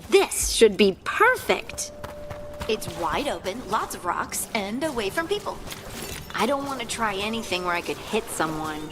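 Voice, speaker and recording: A woman's voice: mid-range voice, big pitch swings, a little slow. A woman playing an earnest, awkward, cheerful young scout with magic in a video game, bashful and a little hesitant. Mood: nervous, candid. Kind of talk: naturalistic video game voice acting. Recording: game music behind the voice. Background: game music.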